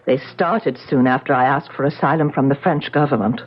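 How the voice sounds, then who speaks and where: An actress in a 1970s radio drama playing a proud, nervous prima ballerina, speaking halting, formal English with a foreign accent. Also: female voice, extremely low pitch, slight background noise. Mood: troubled, resolute. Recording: muffled 1970s radio broadcast.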